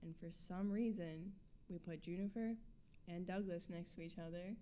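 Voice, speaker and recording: A twenty-one-year-old woman, a band member, chatting in a radio studio interview, talking fast with lots of fillers and little laughs. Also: fairly low pitch, mellow, slight background noise. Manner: chatty, many fillers, giggly. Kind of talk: casual interview chat.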